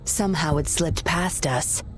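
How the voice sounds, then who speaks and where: Low-voiced, commanding woman agent. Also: unhurried, low-quality recording. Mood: cool, threatening. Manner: terse, controlled, threatening. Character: cool commanding undercover woman agent, stern and guarded. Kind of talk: video game voice acting. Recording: music under the voice.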